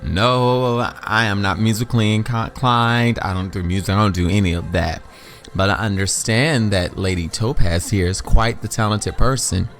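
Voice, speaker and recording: A man voicing a laid-back, courteous male suitor on a date in an audio story, low and easygoing. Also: normal speed, fairly bright tone, soft background music. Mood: modest.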